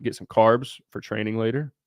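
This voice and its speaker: A man's voice: on the low side, fairly even intonation, moderate pace, dry recording, dull audio. A young man from Southern Ohio hosting a casual livestream, answering viewers' questions in a brash, blunt way and swearing freely. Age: young adult. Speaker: livestream host.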